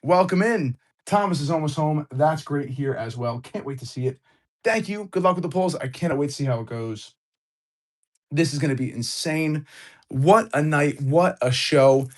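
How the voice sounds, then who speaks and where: A man hosting a live card-opening stream, excitable and hyped, greeting viewers, his voice a little off as he recovers from illness. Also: on the high side, moderate pace.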